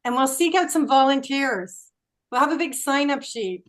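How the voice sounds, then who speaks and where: A woman hosting a casual group video call as tour organizer, chatty and quick to laugh. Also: high-pitched, lively intonation. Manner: chatty, laughs often, restarts phrases.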